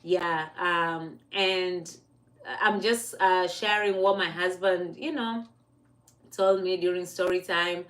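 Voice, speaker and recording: A woman's voice: lowish voice, fairly even intonation, takes it slowly, fairly bright tone. A woman from Kenya with a Kenyan accent, talking on a casual motivational livestream, chatty and laughing often, stopping to correct her own words. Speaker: livestream host.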